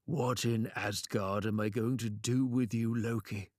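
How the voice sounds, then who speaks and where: A man's voice: on the low side, steady tone, unhurried, a little mellow, dry recording, studio quality, natural voice. A man voicing a stern, stately old king of the gods in a storybook tale, speaking in a grand, formal manner.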